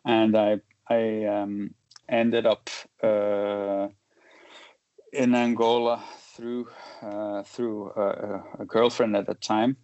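A man in his forties, born and raised in Belgium, telling his story as a guest in a casual interview, halting with many restarts and fillers. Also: on the low side, little pitch movement, a little mellow, dry recording, highs cut off. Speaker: guest, photojournalist.

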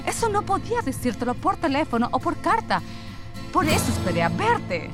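A returning exile in a radio play, speaks with earnest, dramatic weight. Her voice is high and very animated in pitch, with clear music behind it. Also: normal speed. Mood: haunted, confessional. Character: older exiled woman returning home to her lost love. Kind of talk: radio theatre acting.

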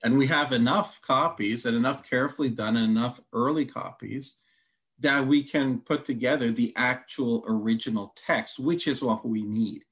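A man's voice: medium pitch, moderate pace, a bit bright. Man with Hungarian roots teaching scripture. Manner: methodical, reads long quotes, counts points. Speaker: Bible teacher. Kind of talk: teaching conversation.

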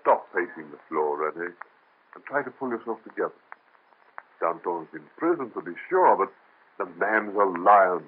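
A firm, reassuring friend. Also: male voice, sits low, expressive, moderate pace, slightly rough. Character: steady, commanding male friend and colleague. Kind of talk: 1930s radio drama acting. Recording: tinny old radio recording.

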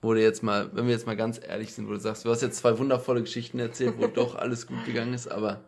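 A young man hosting a podcast, chatting in a relaxed way and telling anecdotes. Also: mid-range voice, fast, creaky, slight background noise. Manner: relaxed, tells anecdotes with quoted voices. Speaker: podcast host. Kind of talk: casual podcast conversation.

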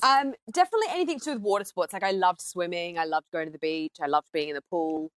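A woman's voice: fairly low pitch, quick pace, a bit bright, dry recording. A woman from Australia speaking as a podcast guest, telling personal stories in a conversational way.

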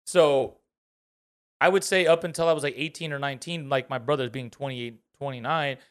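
A man's voice: medium pitch, brisk, fairly bright tone, clean tone. A man in his thirties co-hosting a podcast, talking loosely and profanely, laughing often. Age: 30s. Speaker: podcast co-host. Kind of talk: casual chat.

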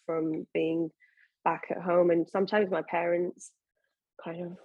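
A woman's voice: on the low side, flat delivery, measured pace, breathy edge, no echo, lo-fi sound. A young woman from Iran, a podcast guest, chatting casually with many fillers and restarts. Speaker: guest, bikini competitor. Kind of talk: casual interview talk.

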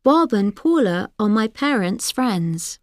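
Woman reading english drill lines nice and slow, dead dry studio sound. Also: medium pitch, sing-song, darkish tone, no echo. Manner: carefully enunciated drill reading. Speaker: course audio speaker. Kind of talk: slow, clear example sentences for learners. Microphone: dry studio mic.

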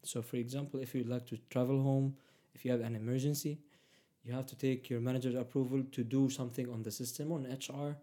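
A man's voice: mid-range voice, little pitch movement, fast talker, dark tone. A young man whose first language is Arabic, talking casually as a guest in English, hesitant with many fillers and restarts. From Palestinian, raised in Egypt. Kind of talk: casual conversation.

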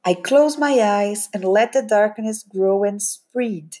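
A woman's voice: medium pitch, slow pace, mellow. A woman giving a dramatic reading of first-person fiction. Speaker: participant reading aloud.

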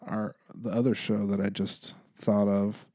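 A laid-back young man co-hosting a podcast, rambling along in easy chat. His voice is mellow and dark, recorded close on a dry mic. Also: on the low side, flat delivery, measured pace, dry recording. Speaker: podcast co-host. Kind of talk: casual chat.

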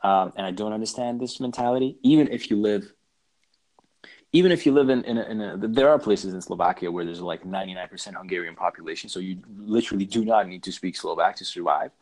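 A man with a fairly low voice talks things through as an interview guest. He is reflective, weighs both sides, and often restarts his sentences while searching for the right words. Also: fast, darkish tone. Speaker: podcast guest. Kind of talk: reflective conversational talk. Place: lives in southern Slovakia.

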